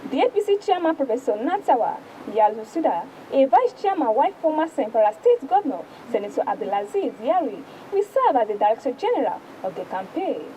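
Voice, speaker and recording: Woman, Nigerian accent, high lively voice, news presenter, formal read-aloud with stumbles, faint rumbling noise. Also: brisk, hardly any pauses, low-quality recording. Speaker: presenter reading newspaper review. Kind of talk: news reading and paper review.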